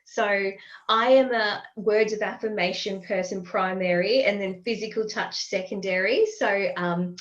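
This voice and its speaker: A woman's voice: mid-range voice, steady tone, unhurried. Woman podcast host, slightly muffled. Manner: friendly, chatty, many fillers. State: has a respiratory ailment. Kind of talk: casual interview chat.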